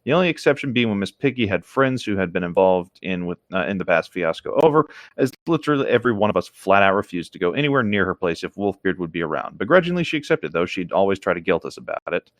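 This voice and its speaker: A man's voice: deep voice, big pitch swings, fast talker, few pauses, dry recording, studio quality. A man reading a story aloud as a co-host, casual, with reflective, easygoing asides. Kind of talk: casual story narration with commentary.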